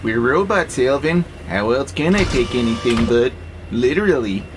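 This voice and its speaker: Audio drama casting: man as compliant freight robot, literal and nitpicking, average male register, steady background noise. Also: medium pitch, moderate pace, close to an everyday voice. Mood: pedantic, matter-of-fact. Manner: pedantic, matter-of-fact. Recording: clear steady background noise, vehicle-like hum.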